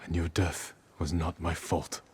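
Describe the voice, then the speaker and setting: A resolute, haunted samurai in a game cutscene. The voice is low and restrained, measured and solemn, with some ambient noise behind it. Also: male voice, little pitch movement, a little mellow. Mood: firm, wounded. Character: grave, dutiful adult samurai lord, resolute, haunted son. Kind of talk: dramatic video game acting.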